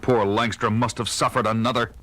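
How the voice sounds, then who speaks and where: An actor playing a stern, heroic masked crime fighter, grave and declamatory in a 1970s superhero record drama. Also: male voice, on the low side, flat delivery, a little fast. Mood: grave, narrating. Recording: loud music bed under voice.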